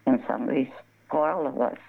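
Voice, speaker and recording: Gravelly older woman on a phone line. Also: extremely low pitch, moderate pace. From southern Colorado. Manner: rambling, restarts words, laughs often. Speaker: guest, embroidery artist. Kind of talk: casual interview, storytelling.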